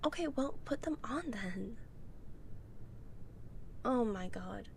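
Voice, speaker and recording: A woman playing a college-age goth friend in an ASMR roleplay, speaking softly, often in a breathy near-whisper, with playful, dry teasing. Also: on the high side, lively intonation, takes it slowly, a little hiss or hum, full character voice. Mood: happy, teasing.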